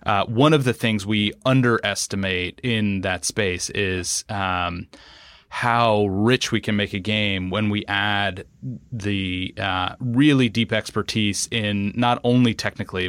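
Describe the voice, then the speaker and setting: Smooth-voiced man hosting an interview. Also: fairly low pitch, fairly bright tone, no room sound. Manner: thoughtful, hesitant phrasing with many fillers. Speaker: podcast host. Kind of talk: casual interview conversation.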